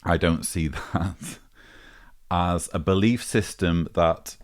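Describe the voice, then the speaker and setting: Low male voice, unhurried pace, deliberate, articulate, formal vlog commentary. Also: steady tone. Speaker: vlog host. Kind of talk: measured spoken commentary replying to a voicemail.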